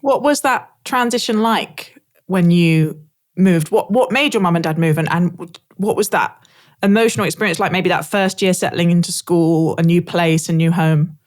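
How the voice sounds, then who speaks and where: A woman's voice: on the low side, moderate pace. A woman hosting an interview, asking curious, open questions in a conversational way. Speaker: interviewer, podcast host.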